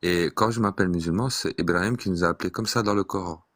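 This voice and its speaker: A man in an online debate, speaking calmly and methodically and reciting verses to make his point. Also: deep voice, quick pace, no room sound, clean studio sound. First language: French speaker.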